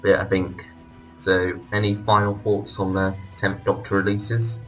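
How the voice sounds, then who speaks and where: A man's voice: low voice, completely flat delivery, measured pace, fairly bright tone, soft background music. A man hosting a casual fan discussion, with hesitant, hedging phrasing. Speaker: podcast host. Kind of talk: casual fan discussion of audio drama releases.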